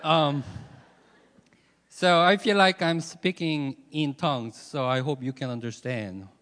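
A man from South Korea, a native Korean speaker with a Korean accent, giving a halting, self-joking testimony as a missionary guest speaker. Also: on the high side, a bit bright. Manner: halting, joking about himself. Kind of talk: personal testimony to a church congregation. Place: large church hall, over a PA. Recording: echoey hall, long reverb.

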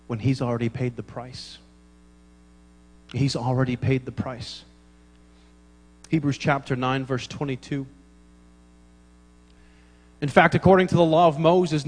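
A man's voice: medium pitch, darkish tone, in a large hall, rough recording. A man preaching a sermon in church, telling funny stories and acting out the dialogue, laughing at his own jokes. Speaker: pastor.

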